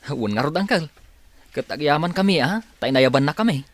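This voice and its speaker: An actor playing an earnest, hardworking young farm worker in a radio drama. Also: male voice, a little high, animated, a little fast, no echo, lightly acted. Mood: grateful, earnest.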